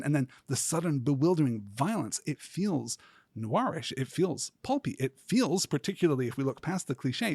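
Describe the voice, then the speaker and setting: A man hosting a podcast, delivering scripted literary commentary in a measured, articulate way with deliberate, emphatic phrasing. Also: fairly high pitch, darkish tone, husky, no echo, clean studio sound. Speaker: podcast host.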